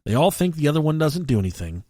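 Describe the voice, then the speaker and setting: A man co-hosting a podcast, telling stories casually and comically in a profane, halting way. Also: fairly high pitch, very animated, warm tone, no room sound. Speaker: podcast co-host.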